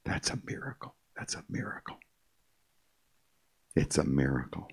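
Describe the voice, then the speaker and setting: An older man preaching his testimony with a deep, low voice. His delivery is emphatic and repetitive as he keeps calling the listeners "my friend." There's a gravelly crackle on his words. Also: very animated, normal speed, whispered. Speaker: concert pianist giving testimony. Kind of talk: evangelistic testimony speech to a live audience.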